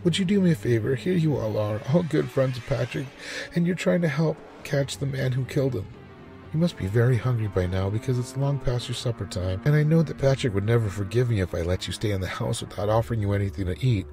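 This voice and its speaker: A storybook housewife, played by a man, tearful and courteous. The voice is lifted high and lively, with coaxing politeness. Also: fast, gravelly, soft background music. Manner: courteous, pleading.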